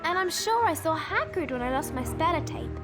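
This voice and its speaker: A woman's voice: very high-pitched, expressive, a little fast. A woman playing an earnest, slightly forlorn little girl witch in a video game. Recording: music behind.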